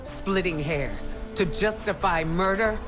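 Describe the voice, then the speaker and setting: An actress playing a defiant, scornful fighter in a video game, cool and cutting. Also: female voice, on the low side, slow. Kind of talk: dramatic video game acting. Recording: music under the voice.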